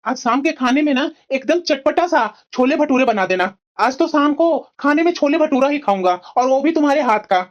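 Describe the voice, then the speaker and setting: A woman's voice: sits high, animated, fairly quick, hardly any pauses. A woman voicing a lazy, greedy freeloading husband in a moral-story cartoon, wheedling and demanding, with dull sound.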